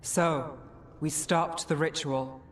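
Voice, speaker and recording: An actor playing a skeptical, reasoning male companion in a fantasy game, measured and doubting. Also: on the high side, steady tone, background noise.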